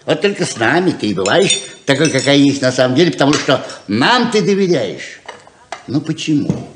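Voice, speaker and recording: A man's voice: mid-range voice, very animated, normal speed, slight background noise, close to an everyday voice. An actor playing a stubborn, loving middle-aged father in a 1970s Soviet radio play, emphatic and persuasive, moving between tenderness and insistence. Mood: tender insistence. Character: coaxing, stubborn, loving father of grown children. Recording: roomy 1970s radio drama recording.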